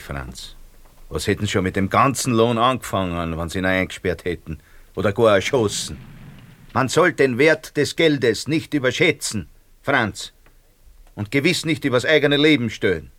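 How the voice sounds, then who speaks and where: A man's voice: on the low side, a bit bright, dry recording. An actor playing a smug, opportunistic Viennese businessman in a radio play, wheedling with mock courtesy. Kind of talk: radio play acting in dialect.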